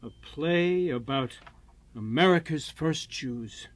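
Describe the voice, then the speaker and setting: An actor in a radio drama playing an exuberant husband with theatrical dreams, jovial and grandiose in manner. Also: male voice, a little high, slow pace. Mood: excited, grandiose.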